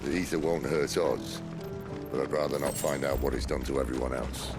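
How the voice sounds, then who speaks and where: An actor with a British accent voicing a wry, world-weary rebel leader in a fantasy game, dry and sardonic. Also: male voice, very deep voice, fairly even intonation, brisk. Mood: grave, urgent. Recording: loud music over the voice.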